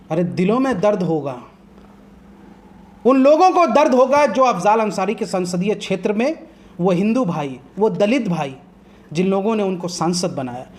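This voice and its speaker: A man's voice: high voice, slight background noise. A man arguing as a political commentator in a heated TV debate, impassioned and combative, firing off rhetorical questions.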